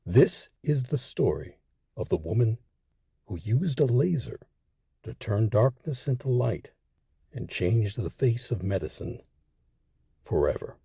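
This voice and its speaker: A man's voice: on the low side, very animated, unhurried, creaky, long pauses, mellow, breathy edge, no room sound, clean studio sound. A man delivering scripted, dramatic documentary narration as the series announcer.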